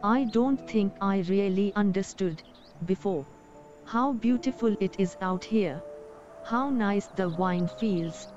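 A woman voicing a small, resolute young dwarf healer in a fantasy game, measured and earnest. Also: mid-range voice, darkish tone, muffled recording, soft background music, close to an everyday voice. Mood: resolute, wistful. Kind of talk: dramatic video game dubbing.

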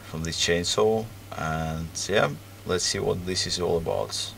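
Low slow gravelly guy, fixing stuff on a bench, lots of ums, mic kinda far off with background noise. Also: a bit bright. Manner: hesitant, many ums and restarts while working. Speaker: repair video host. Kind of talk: casual hands-on repair commentary. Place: workbench, indoors.

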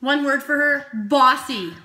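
A woman in her early twenties chatting playfully with her sister in a vlog, reading out questions and hurrying things along with quick asides. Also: sits high, pushed and bright. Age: early 20s. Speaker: co-host sister. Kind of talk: casual chat. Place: indoor room, sitting side by side. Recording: echoey room with background noise.

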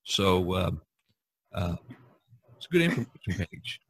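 A man's voice: low-pitched, sing-song, unhurried, slightly rough, no echo. A man hosting a casual podcast chat over a video call, rambling with many fillers and restarts. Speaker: podcast host.

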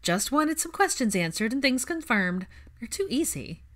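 A woman narrator plays a brash young best friend, nosy and gleefully teasing. The voice jumps high and loud, in audiobook storytelling. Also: big pitch swings, moderate pace.

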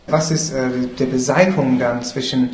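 A man hosting a radio interview in halting German, with many fillers and slips. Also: mid-range voice, steady tone, poor audio. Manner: halting non-native German with fillers and English asides.

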